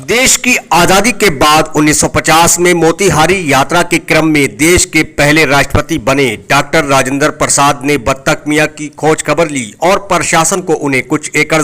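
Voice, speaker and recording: A man's voice: a little high, a bit bright. A man reading a scripted news feature as a reporter narrating the story.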